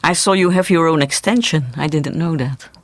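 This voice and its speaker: A man's voice: on the high side, normal speed, no room sound. A Belgian man whose first language is Dutch, hosting a radio interview with curious, informal questions. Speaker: radio host.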